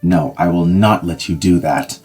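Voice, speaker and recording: A man voicing a kindly, protective Scottish nobleman who speaks gently and courteously in a fan audio drama. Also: deep voice, a little slow, soft background music.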